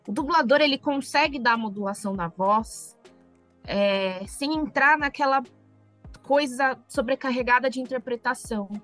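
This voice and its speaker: A woman's voice: sits high, normal speed, a bit bright, soft background music. A Brazilian woman on a podcast panel, chatting casually in a blunt, slangy, emphatic way. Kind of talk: casual group chat.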